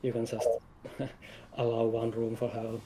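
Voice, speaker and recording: A man's voice: mid-range voice, monotone, measured pace, crowd noise, poor audio. A man speaking casually as a guest game developer, explaining things in an informal chat. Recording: some background noise.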